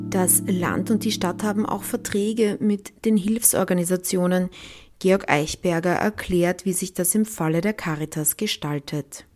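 A woman's voice: lowish voice, a little slow, a little mellow, soft background music. A woman presenting as a reporter in scripted narration, linking interview segments.

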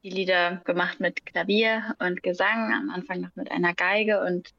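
A young woman, a singer who is a guest, talking casually in an interview, relaxed, often laughing and searching for words. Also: fairly low pitch, normal speed, no room sound, highs cut off.

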